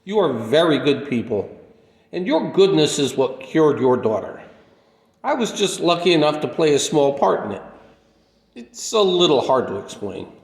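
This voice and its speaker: An actor playing a gentle, humble, lonely drifter in a radio play, speaking with polite, mild sincerity. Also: male voice, a little high, very animated, normal speed, creaky. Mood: humble, sincere. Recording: echoey, reverberant room.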